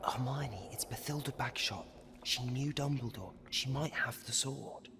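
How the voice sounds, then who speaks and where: A man's voice: mid-range voice, measured pace, noisy background, natural voice. A young man playing an earnest young wizard hero. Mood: whispering. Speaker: game character. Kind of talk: video game cutscene dialogue. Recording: game audio with loud music behind.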